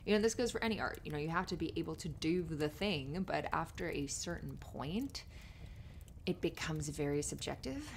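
A woman playing an affectionate, playful writer girlfriend in an intimate ASMR roleplay, gently reassuring her partner in a giggly, rambling way. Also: low-pitched. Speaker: audio roleplay performer. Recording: noisy fireplace ambience behind voice. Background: fireplace ambience.

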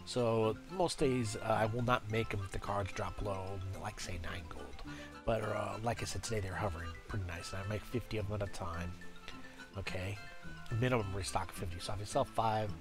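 Brief: low-voiced man, congested from a cold, hesitant tutorial delivery, music underneath. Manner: halting, many uhs and restarts. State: stuffy from a cold. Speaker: game guide creator. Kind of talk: casual screen-recorded tutorial.

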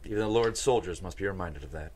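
A man acts out a militant man of the church in a supernatural drama read. His voice sits a little low, and he speaks with solemn, pious zeal. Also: quick pace, darkish tone, slight background noise, lightly acted. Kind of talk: script table read.

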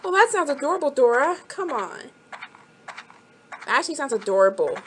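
A woman giving casual let's-play commentary, excitable and chatty, talking straight to the game characters and repeating herself. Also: high voice, normal speed, slight background noise. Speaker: gameplay commentator. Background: game music.

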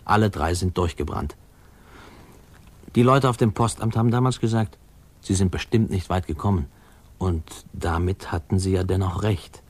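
Low husky guy looking back on his boyhood, wry musing storyteller, old radio play. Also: moderate pace. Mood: dry, official. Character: grown man wryly recalling his boyhood, reflective. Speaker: narrator.

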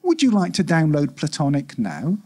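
A man voicing a polite, implacable customer-service AI in a live story reading, with smooth scripted corporate patter. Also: fairly high pitch, wildly swooping pitch, moderate pace, darkish tone, heavily acted. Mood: polite, insistent.

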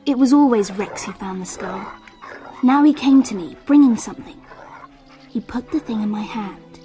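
An actress playing an anxious English girl narrating her summer in a radio drama, with hushed, intense, deliberate storytelling. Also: on the high side, animated, faint music behind, close to an everyday voice. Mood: shaken, hushed.

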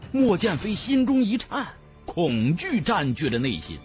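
A man's voice: sits high, wildly swooping pitch, unhurried, faint music behind. A man narrating a fantasy novel in a theatrical, emphatic style, as a scripted audiobook.

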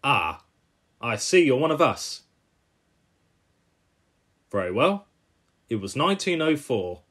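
Man as veteran cartoon steam engine, proud, dignified, measured storyteller, slow. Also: mid-range voice, very animated, fairly bright tone, husky, full character voice.

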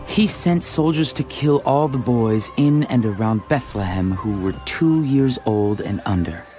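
Low-voiced male Bible narrator. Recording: faint music behind the voice. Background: music.

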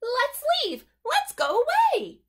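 A woman voicing an eager, excitable male duck in a picture book read-aloud. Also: slow pace, heavily acted. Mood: excited. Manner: excited, high-pitched.

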